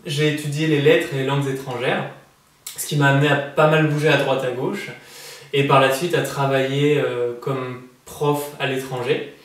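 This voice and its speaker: A man in his thirties, an author from France, answering interview questions in a relaxed, hesitant way with many fillers and restarts. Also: mid-range voice, moderate pace. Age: 30s. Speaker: prize-winning author interviewed. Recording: echoey room, voice from far off the mic.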